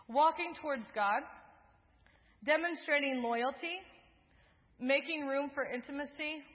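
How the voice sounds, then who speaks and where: A young woman preaching a sermon in an earnest, measured way, moving through lists in a steady rhythm, then leading the congregation in prayer. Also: sits high. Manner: earnest, measured, with list-like cadences. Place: church sanctuary. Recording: dull sound, echoing in a large hall.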